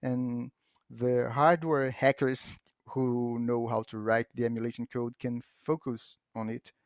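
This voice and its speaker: Portuguese-native man, podcast guest, patient and halting, dry recording. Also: medium pitch, level tone, dry recording. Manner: patient, halting with frequent restarts and fillers. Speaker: podcast guest explaining software. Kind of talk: casual explanatory chat.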